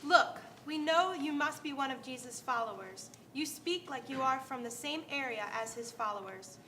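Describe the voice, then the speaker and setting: A woman's voice: sits high, expressive, moderate pace, pushed and bright. A woman playing a taunting, accusing member of a hostile crowd, pointed and confrontational in a dramatic reading. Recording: faint background room noise.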